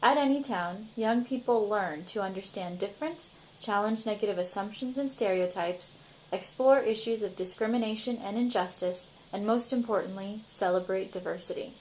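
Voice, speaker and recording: Woman narrator, scripted, mid-pitched, measured delivery. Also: a little hiss or hum, poor audio. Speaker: narrator for a nonprofit.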